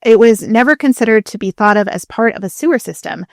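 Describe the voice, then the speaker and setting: Woman cohost just chatting and explaining stuff, animated, super informal, no room echo. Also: mid-range voice, fast talker, vocal fry, clean studio sound. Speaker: podcast co-host.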